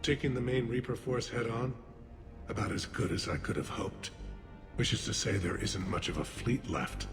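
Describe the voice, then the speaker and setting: A man's voice: fairly low pitch, animated, gravelly. A man voicing a grave, proud alien military leader in a video game, speaking formally with a metallic doubling on his voice. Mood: grave, weary. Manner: formal, solemn, measured oratory. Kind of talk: video game dramatic acting. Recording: long reverb, music behind.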